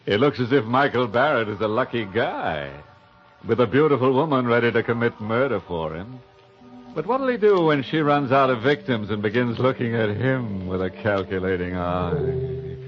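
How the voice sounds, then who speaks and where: An actor playing a sardonic, ghoulish horror-show host who makes macabre jokes with mock friendliness, in a 1950s radio style. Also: male voice, mid-range voice, natural voice. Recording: muffled old radio recording, loud music.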